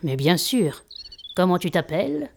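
A woman playing a kindly old master and healer in an audio drama, attentive and gently authoritative. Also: fairly low pitch, big pitch swings, unhurried, creaky, a little hiss or hum, heavily acted. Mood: welcoming, caring.

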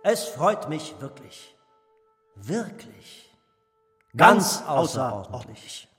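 An actor playing a powerful Nazi minister in a radio drama, effusive and slyly menacing, speaking in clipped, repeated phrases. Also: male voice, a little high, very animated, drawn-out words, a bit bright, exaggerated voice. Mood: silky, menacing. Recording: long hall reverb, music underneath.

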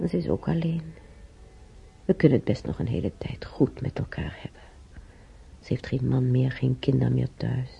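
An actress playing a weary, timid elderly former housemaid in a radio play, with a breathy, husky voice and halting, sighing delivery. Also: female voice, extremely low pitch, soft background music, exaggerated voice. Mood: weary, musing. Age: older. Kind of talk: naturalistic radio drama monologue and dialogue. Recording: dull, muffled radio play recording.

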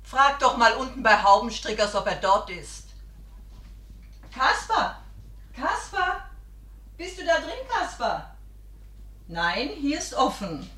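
A worried motherly woman, calling out and exclaiming in alarm. Her voice sits fairly high and moves with great animation, in a roomy dramatic recording. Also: big pitch swings, takes it slowly, pauses often, pushed and bright, heavily acted. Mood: worried searching. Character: anxious motherly woman searching for missing boy. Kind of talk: German radio drama acting.

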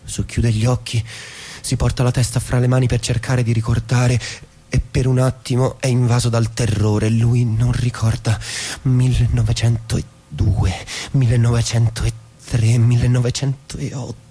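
An actor playing a philosophical, declamatory narrator in theatrical scripted narration, with a husky voice. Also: male voice, medium pitch, little pitch movement, a little slow, dark tone. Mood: solemn. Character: philosophical, declamatory narrator musing on time and memory.

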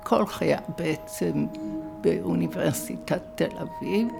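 Older female academic interviewee, heavily gravelly, brisk delivery, music underneath. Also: low-pitched. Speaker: retired professor and translator. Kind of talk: interview self-introduction.

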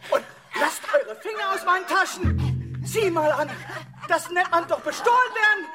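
An actor playing a fastidious, put-upon man who narrates in a German radio play, dry and fussy, prone to indignation. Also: extremely high pitch, expressive, music in the background. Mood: indignant. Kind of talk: German radio play acting, interior monologue.

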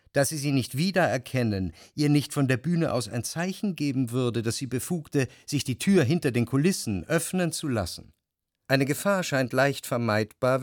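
An actor playing a jealous, brooding narrator who speaks in measured, reflective long sentences in a literary radio drama. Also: male voice, mid-range voice, dry recording, clean studio sound, natural voice. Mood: jealous, anxious. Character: jealous, brooding male narrator, introspective and anxious.

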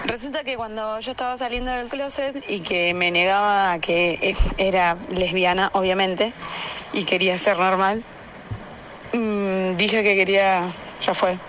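A woman's voice: mid-range voice, fairly even intonation, unhurried, fairly bright tone, rough recording. An Argentine woman telling a personal anecdote casually in a frank, slangy way, recorded as a voice message. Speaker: listener sending an audio message. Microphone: phone voice message. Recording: voice message with faint background noise.

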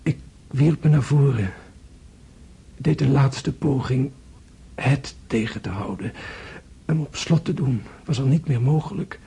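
An actor playing a gravely wounded prince in a radio drama, tender and philosophical, speaking in hushed, halting, weakened tones. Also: male voice, mid-range voice, exaggerated voice. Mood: haunted, fearful. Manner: hushed, halting, intense delivery, often whispering. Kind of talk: Dutch radio drama acting.

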